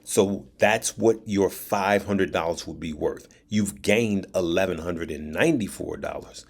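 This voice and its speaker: A man with a deep voice hosting a stock trading channel, giving casual advice and driving his points home by repeating them and checking in with "right?". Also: measured pace, creaky, no echo. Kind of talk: casual finance advice to viewers.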